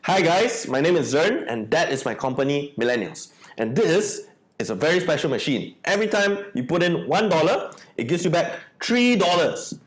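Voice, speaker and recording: Fairly high-voiced young Malaysian man. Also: very animated, moderate pace, slight background noise. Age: young adult. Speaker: e-commerce coach. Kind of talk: promotional explainer pitch. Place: small indoor room. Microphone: lapel.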